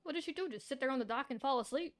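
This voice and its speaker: A woman's voice: sits high, lively intonation, very fast. A woman streamer casually commentating on a game and reading dialogue aloud, blunt and sweary.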